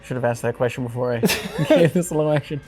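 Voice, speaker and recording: A woman's voice: extremely low pitch, fairly quick, darkish tone. A woman playing a tabletop role-playing game, chatting casually in an informal, wry way. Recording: music behind the voice. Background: music.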